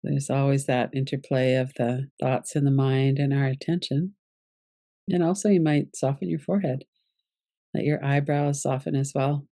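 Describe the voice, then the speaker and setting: Mellow, creaky-voiced woman guiding relaxation. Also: very deep voice, dry recording, studio quality. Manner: calm, gentle, unhurried instruction. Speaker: yoga nidra teacher. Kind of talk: guided relaxation meditation.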